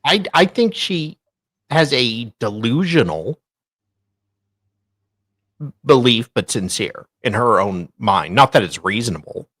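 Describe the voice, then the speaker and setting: A woman's voice: very deep voice, lively intonation, slow, pauses often, fairly bright tone, breathy edge, no echo. A woman co-host reading documents aloud in a theatrical, mocking way, breaking off for casual asides. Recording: faint music behind voice. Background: faint music.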